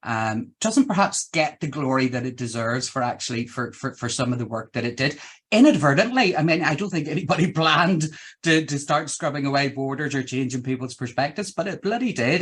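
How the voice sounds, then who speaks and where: A man's voice: medium pitch, lively intonation, brisk, fairly bright tone. A middle-aged man with a Northern Irish accent hosting a casual interview, effusive and reflective in the way he talks. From Northern Ireland. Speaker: interviewer, host.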